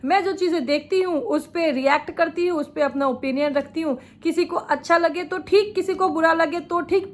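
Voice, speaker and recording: A woman vlogger, chatting opinionatedly about other creators while she has a sore throat from a cold and laughs often. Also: very high-pitched. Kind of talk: casual reaction vlog commentary.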